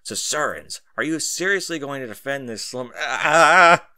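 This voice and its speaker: A man's voice: mid-range voice, animated, measured pace, sharp tone, dry recording, clean studio sound. A man voicing a haughty, wrathful, scrawny man in a suit who snaps indignantly in an audiobook story. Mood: angry. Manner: snapping, indignant.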